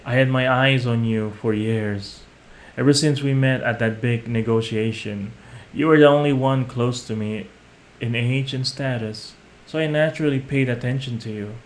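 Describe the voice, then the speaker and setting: A man's voice: mid-range voice, natural voice. Smug young mafia boss, acted by a man, noisy recording. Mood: possessive menace. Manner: smug, menacing, condescending, theatrical. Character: possessive, scheming young male mafia boss, coldly gloating. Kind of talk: scripted roleplay audio monologue, addressed to a captive listener. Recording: clearly noisy amateur home recording.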